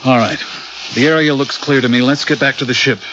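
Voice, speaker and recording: An actor playing a level-headed, good-humored spaceship captain with easy authority in a radio drama. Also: male voice, medium pitch, fairly even intonation, fairly quick, background noise, natural voice. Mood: matter-of-fact. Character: easygoing, level-headed male rocket captain. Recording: dull radio drama recording, loud music.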